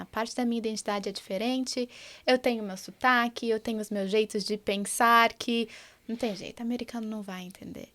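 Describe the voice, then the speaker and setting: Earnest Brazilian woman, slightly high voice. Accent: Brazilian Portuguese. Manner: earnest and reflective, many tag questions. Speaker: guest, project founder. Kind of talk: casual podcast chat.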